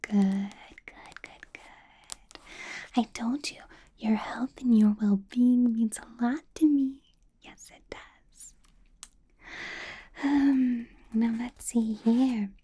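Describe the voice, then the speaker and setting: A woman's voice: on the high side, steady tone, husky, heavily acted. A woman voicing an ancient, doting yet menacing female vampire boss in a whispered ASMR roleplay, coaxing and drawing out her words. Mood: attentive, doting. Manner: slow, drawn-out, coaxing, soft chuckles and repeated words.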